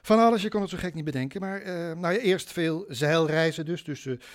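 A man's voice: fairly high pitch, a little fast, close to an everyday voice. A man playing an eccentric, good-natured uncle back from abroad in a radio drama, rambling and hesitant. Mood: earnest, rambling. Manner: hesitant, rambling, chuckling self-deprecation.

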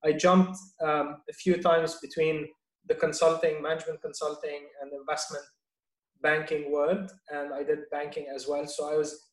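A middle-aged man from Lebanon, a business guest answering interview questions in a measured way, pausing often with fillers. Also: fairly high pitch, little pitch movement, echoey room, rough recording. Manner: measured, with many fillers and restarts. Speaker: guest, venture investor.

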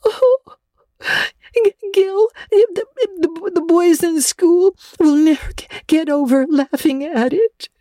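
A woman doing a dramatic young storybook girl, her voice pitched well above a grown woman's and tumbling out in eager, breathless rushes. She stammers when upset and swoons over every small joy. The sound is close and dry. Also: warm tone, dry recording. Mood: tearful. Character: excitable talkative orphan girl, dramatic and eager. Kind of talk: audiobook character voice.

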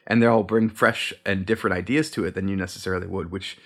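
A man's voice: low voice, steady tone, fast, a bit bright. A man co-hosting a casual podcast chat, talking about sound design with enthusiasm and easy laughter. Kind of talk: casual conversation.

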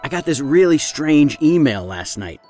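A man's voice: on the high side, very animated, normal speed, darkish tone. A man playing a bewildered but determined amateur investigator in an audio drama trailer. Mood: puzzled. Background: music.

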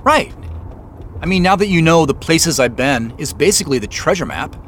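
A stressed man on the run, played by a male actor in an audio drama. He is suspicious and sarcastic, with an animated, fairly high voice. A faint hum of driving sits behind him. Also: moderate pace. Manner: sarcastic, agitated. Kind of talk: audio drama acting with first-person narration.

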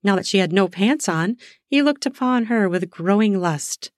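A woman narrating an erotic audio story in a slow, sensual way, lingering on words for emphasis. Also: mid-range voice, expressive, no room sound, studio quality. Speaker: storyteller, podcast host.

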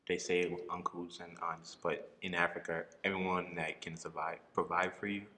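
Liberian-born man, low voice with a bright edge, relaxed interview guest. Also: moderate pace, in a large hall, slight background noise. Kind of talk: casual interview chat.

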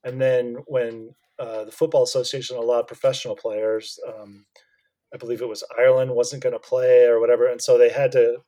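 A man co-hosting a casual talk podcast with a middle-pitched voice. He explains things at length, stopping and restarting with plenty of fillers. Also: flat delivery, a little fast, warm tone. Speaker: podcast co-host. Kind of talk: casual chat.

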